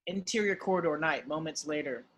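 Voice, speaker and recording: A man's voice: a little high, a little fast, a bit bright, a little hiss or hum. A man narrating screenplay directions at an amateur table read.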